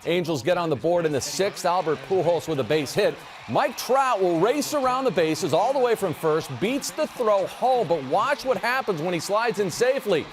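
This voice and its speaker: A man anchoring a local TV sports desk, reading highlights in a brisk, punchy manner. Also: animated, slight background noise. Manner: brisk, punchy play-by-play delivery.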